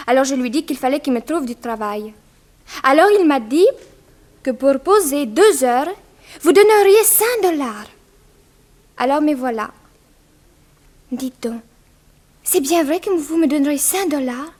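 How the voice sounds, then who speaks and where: A woman's voice: high-pitched, expressive, unhurried, pauses often, darkish tone. An actress playing a bold, proud eighteen-year-old Russian immigrant girl in a 1960s French radio drama, with a cheeky, self-assured manner. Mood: cheeky, chatty.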